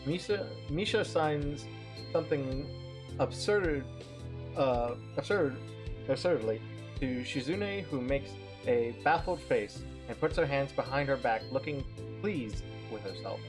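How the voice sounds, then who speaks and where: A man reading a visual novel aloud on a stream, narrating haltingly and often repeating words as he goes. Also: mid-range voice, animated. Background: music.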